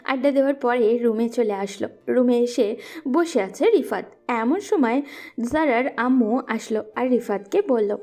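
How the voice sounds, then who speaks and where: A woman's voice: fairly high pitch, lively intonation, a little slow, dark tone. A woman narrating a scripted romantic story.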